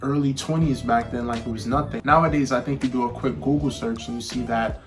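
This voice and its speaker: A man in his mid-twenties with a mid-range voice co-hosts a relaxed podcast. He speaks earnestly and reflectively at a steady pace. Faint music runs underneath. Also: medium pitch, a little fast. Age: 20s. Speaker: podcast co-host. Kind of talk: casual conversational podcast.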